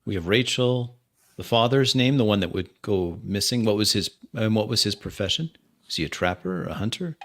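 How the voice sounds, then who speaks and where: Man, tabletop player, lowish voice, in-character improvisation, deliberate phrasing. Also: lively intonation, fairly bright tone.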